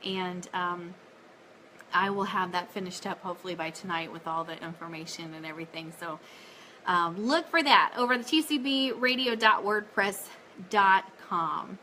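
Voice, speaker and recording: A woman's voice: fairly low pitch, normal speed, pushed and bright, a little hiss or hum, low-quality recording. A woman hosting a casual fan live stream, chatting and making announcements in an upbeat, enthusiastic way.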